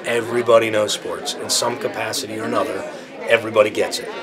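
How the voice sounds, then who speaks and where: A man's voice: on the low side, steady tone, quick pace, a crowd in the background. A man working as a sports radio program director, talking in a documentary interview. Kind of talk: interview talk. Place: indoor room, documentary interview with music behind.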